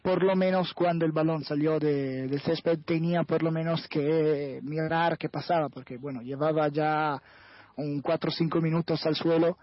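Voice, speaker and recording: A man's voice: fairly high pitch, fairly even intonation, normal speed, no room sound. A man whose first language is Italian, analysing football on a radio show in Spanish, hesitating often and searching for words. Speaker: guest football commentator. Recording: dull, lo-fi radio sound.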